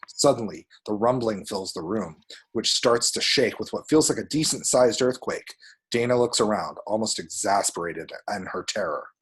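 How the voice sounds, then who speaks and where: A man's voice: on the low side, lively intonation, moderate pace, husky, rough recording. A man reading screenplay action descriptions aloud as dramatic, vivid narration at a table read.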